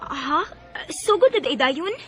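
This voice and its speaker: A woman's voice: sits high, animated, takes it slowly, heavily acted. A woman with a Cebuano accent playing a hesitant, questioning young woman in a radio drama. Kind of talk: Filipino radio drama acting. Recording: loud music behind the voice.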